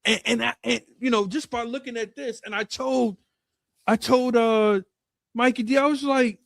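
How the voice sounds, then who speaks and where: A man with a notably high speaking voice hosts a casual interview. He speaks excitedly, tripping over his words and repeating himself. The sound is close and dry. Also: normal speed, creaky, no room sound. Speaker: interview host.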